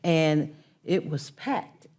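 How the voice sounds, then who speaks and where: A woman on a board makes a measured, appreciative comment in a very low voice. Her words come slowly and plainly. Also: expressive, darkish tone. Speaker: board member. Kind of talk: meeting comment.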